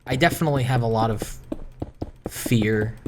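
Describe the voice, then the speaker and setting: A man's voice: medium pitch, moderate pace, noisy background. A man rambling introspectively in a solo gaming commentary, hesitant, often repeating and correcting himself. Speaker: gaming video creator doing commentary. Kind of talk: rambling, introspective solo chat over gameplay.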